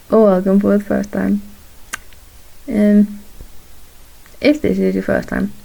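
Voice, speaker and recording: A shy young woman who grew up in Morocco chats casually while drawing at home, halting often and laughing between thoughts. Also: mid-range voice, vocal fry, warm tone, low-quality recording. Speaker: vlogger, aspiring character designer.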